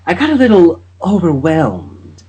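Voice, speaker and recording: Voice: mid-range voice, huge pitch swings, unhurried, mellow, slightly rough, heavily acted, poor audio. A man voicing a smitten, flustered young female unicorn magician in a hesitant, intense way for a story reading. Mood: smitten, sheepish.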